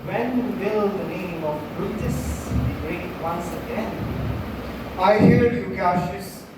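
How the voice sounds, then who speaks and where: Casting: high-voiced player for male Roman conspirator, emphatic stage delivery, recorded from far off with noise. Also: slow pace, natural voice. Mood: earnest, discontented. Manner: earnest, emphatic declaiming. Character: Cassius and Brutus, earnest Roman male conspirators. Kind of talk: school stage play declamation. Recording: distant, very echoey hall, clear noise.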